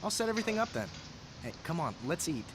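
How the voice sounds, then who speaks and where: A man's voice: fairly high pitch, very animated, fast talker, noisy background. A man voicing a bitter, vengeful young heir in a video game. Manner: bitter, intense.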